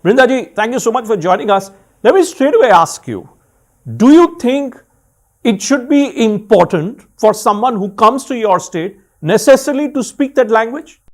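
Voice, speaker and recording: A woman's voice: a little high, lively intonation, moderate pace, a little mellow. A woman anchors a live TV news panel. She introduces the guests and then presses them with pointed questions. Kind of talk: live TV interview.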